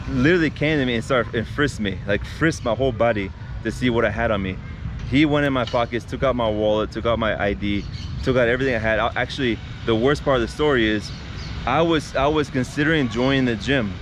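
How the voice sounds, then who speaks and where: Man chatting outdoors over street traffic. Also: mid-range voice. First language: not Spanish. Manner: conversational retelling with many restarts and fillers, addressing viewers as guys. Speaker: vlogger. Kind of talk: casual storytelling to camera.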